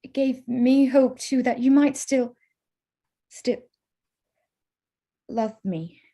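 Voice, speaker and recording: A woman playing a witty, spirited young gentlewoman in a staged reading of a Regency romance, arch and composed. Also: high-pitched, slow, full character voice. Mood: tentative, hopeful. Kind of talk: staged reading of a period drama.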